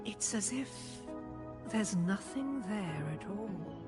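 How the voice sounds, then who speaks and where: An actress playing a stern, composed high priestess in a video game, speaking in formal, measured, deliberate phrases. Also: female voice, expressive. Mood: grave, measured. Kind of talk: scripted video game voice acting. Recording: game music under the voice. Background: music.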